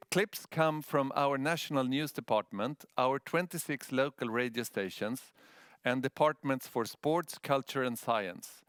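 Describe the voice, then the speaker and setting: A man's voice: medium pitch, fairly bright tone, clear, resonant tone, dry recording, studio quality. A man from Sweden with a Swedish accent giving a prepared presentation as a news editor.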